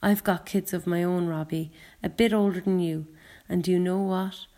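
A woman's voice: on the low side, steady tone, mellow, close to an everyday voice. Woman acting a wobbly-voiced, remorseful Scottish dad. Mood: pained, confiding. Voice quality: wobbly, emotional voice. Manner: halting, earnest, apologetic. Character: remorseful Scottish father who caused an accident, upset and kind.

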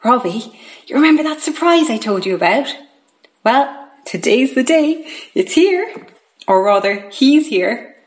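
An encouraging dad, played by a woman reader speaks to his son in an audiobook. He is excited and only half holding it in, building towards big news. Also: sits high, measured pace, pauses often. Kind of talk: audiobook character dialogue.

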